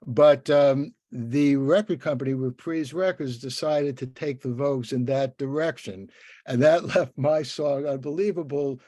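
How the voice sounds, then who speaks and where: A man's voice: medium pitch, steady tone, unhurried, dark tone, no room sound, studio quality. An older man hosting a conversational interview, talking in a rambling, anecdotal way.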